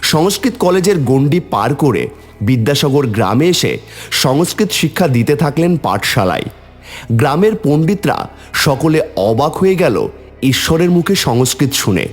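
A man's voice: on the high side, sing-song, normal speed, slightly rough. A man narrating a scripted story in a dramatic storytelling style.

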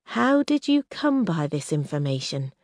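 A woman voicing a cautious, sharp-minded young woman in an audiobook, with a guarded, questioning manner. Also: deep voice, very animated, measured pace, no room sound, clean studio sound, full character voice. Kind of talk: audiobook character reading.

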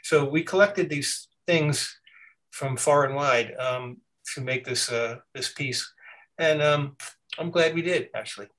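A man's voice: fairly high pitch, a bit bright. A man, a band musician, talking casually in an interview, often restarting his sentences. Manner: halting, self-correcting storyteller. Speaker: musician guest. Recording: distant from the mic.

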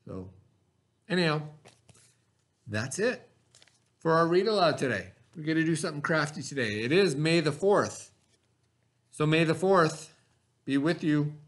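A man in his forties teaches a craft lesson to young children over a webcam in his classroom. His voice sits a little high, and he walks through each step slowly and patiently, checking in with them as he goes. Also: big pitch swings. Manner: slow, step-by-step instructions to children. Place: classroom, indoors. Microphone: webcam at desk.

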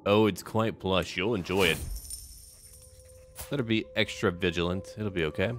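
A man's voice: deep voice, moderate pace. A man narrating a strategy game roleplay in a theatrical, in-character manner. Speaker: gaming video host. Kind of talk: scripted story narration and game commentary. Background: background music.

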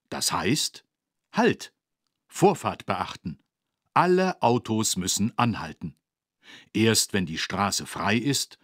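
Patient policeman, low husky voice, very varied intonation, teaching children, dry studio sound. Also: no room sound, full character voice. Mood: careful, emphatic. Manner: patient, instructive, emphatic. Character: kindly, careful policeman teaching children road safety. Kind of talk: scripted educational game narration for children.

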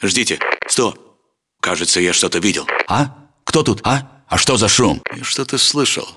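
An actor playing an alert, aggressive Russian soldier in a military video game, giving terse, urgent battle commands. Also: male voice, on the low side, animated, normal speed, bright, forceful tone, breathy edge, full character voice. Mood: wary, suspicious.

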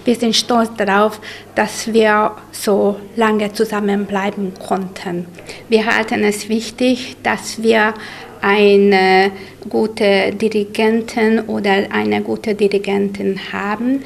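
A woman in her sixties interviewed indoors, speaking slowly and carefully with a few filler sounds. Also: mid-range voice, level tone, creaky. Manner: slow, careful, some fillers. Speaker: choir member. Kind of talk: interview answers. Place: indoors in a room with bookshelves. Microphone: handheld reporter mic.

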